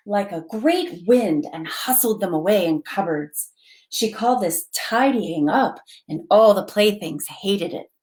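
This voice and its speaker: Woman, children's storytime reader, very varied pitch, unhurried, expressive. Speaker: volunteer storytime reader, library board member.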